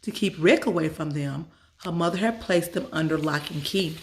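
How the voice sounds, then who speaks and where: A woman's voice: low voice, normal speed. Woman reading a children's story aloud. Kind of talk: expressive scripted story narration.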